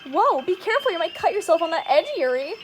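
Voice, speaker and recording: A young woman with a high voice hosts a silly read-through of a visual novel. She slips between casual chat and theatrical mock acting, with music clearly playing behind her. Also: very animated, fast. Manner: giggly, playful, theatrical. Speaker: host of a comic game read-through.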